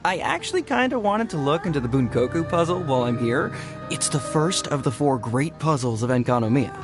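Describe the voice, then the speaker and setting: A man's voice: on the high side, lively intonation, a little fast, lightly acted. A man playing an odd, chipper young soldier in a video game, easygoing and enthusiastic, talking in a breezy, slangy way. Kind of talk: video game character acting. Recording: music behind the voice.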